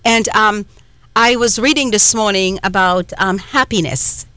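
A woman's voice: mid-range voice, measured pace, no room sound. A middle-aged woman from Trinidad with a Trinidadian accent, co-hosting a radio talk show in a chatty, rambling way with lots of fillers. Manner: chatty, warm storytelling with many fillers and restarts. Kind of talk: casual chat.

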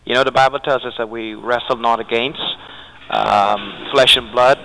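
A man's voice: medium pitch, fairly even intonation, background noise. A man calling in as a radio guest, earnestly explaining faith and spiritual battle over a phone line. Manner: earnest, measured, scripture-quoting. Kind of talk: preaching-style explanation.

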